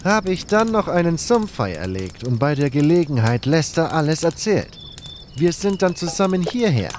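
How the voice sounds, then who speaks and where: A man's voice: on the high side, sing-song, normal speed, darkish tone, slight background noise, voice pushed up. A man voicing a confident, matter-of-fact hunter in a fantasy audio drama.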